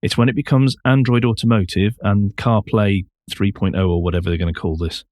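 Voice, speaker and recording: Male podcast co-host, fairly low voice, opinionated and conversational, very dry recording. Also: fairly even intonation, fairly quick, a little mellow, no echo. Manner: opinionated, emphatic. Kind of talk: casual discussion of car news.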